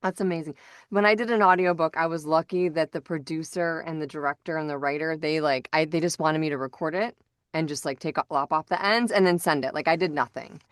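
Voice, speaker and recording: A woman's voice: deep voice, fairly quick, no room sound, clean studio sound. A woman chatting casually as a podcast host who also works as a voice actor.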